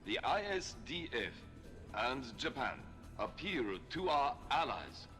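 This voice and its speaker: A stern, formal admiral. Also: male voice, medium pitch, sing-song, sharp tone, soft background music, heavily acted. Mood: grave. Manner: measured and formal. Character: stern male admiral giving a formal statement. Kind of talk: formal prepared statement.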